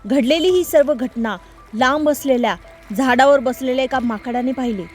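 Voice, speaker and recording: A woman narrates a children's story from a script. Her voice is high-pitched, in a storyteller's delivery. Light music plays behind her. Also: moderate pace, darkish tone.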